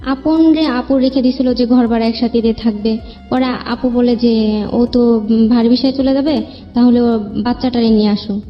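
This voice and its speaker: A woman's voice: a little high, fairly even intonation, normal speed. A young woman with a Bangladeshi accent telling her own life story as a guest, in colloquial, loosely strung sentences. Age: young adult. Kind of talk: informal personal storytelling. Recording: dull lo-fi, long reverb, music behind.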